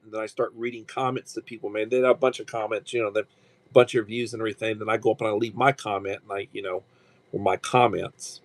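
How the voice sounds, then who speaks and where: A man's voice: medium pitch, no echo. A man in his sixties hosting a casual livestream, talking in a rambling way with frequent restarts and fillers. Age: 60s. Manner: rambling, halting with repeated restarts. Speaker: livestream host.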